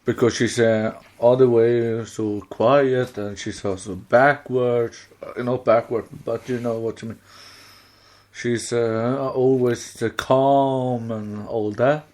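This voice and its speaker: A man's voice: mid-range voice, fairly even intonation, slow, a little mellow. A man with a Swedish accent chatting casually as a podcast co-host, searching for words in non-native English. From Sweden. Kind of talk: casual chat.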